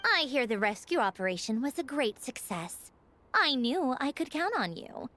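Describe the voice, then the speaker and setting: A woman's voice: high-pitched, very animated, moderate pace, pushed and bright, a little hiss or hum, exaggerated voice. An actress playing a breezy, teasing female engineer in authority, in a theatrical, self-assured video game performance. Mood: playful, admiring.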